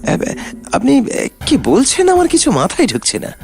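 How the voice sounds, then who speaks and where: An actor playing a flustered, anxious workshop owner in a cartoon, stammering and incredulous. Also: male voice, very high voice, huge pitch swings, moderate pace, vocal fry, a little mellow, soft background music, full character voice. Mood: flustered, puzzled. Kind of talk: animated detective story acting.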